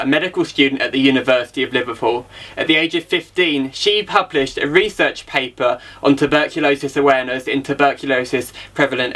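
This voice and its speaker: A man's voice: medium pitch, a bit bright. A man hosting an event, giving a scripted introduction of the next speaker. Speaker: event host.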